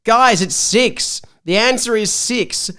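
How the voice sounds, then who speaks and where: A man voicing an excitable, slow-witted boy from a fan-fiction story, exclaiming eagerly and loudly. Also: very high-pitched, clean tone, pitched above the performer's own voice, exaggerated voice. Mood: happily excited.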